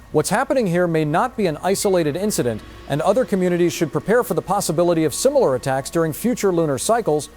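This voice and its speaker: A man playing a solemn emergency broadcaster, reading a formal, measured bulletin in a radio drama. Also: on the high side, a little mellow, lightly acted. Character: solemn male emergency broadcaster addressing besieged townsfolk. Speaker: radio news announcer. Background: music.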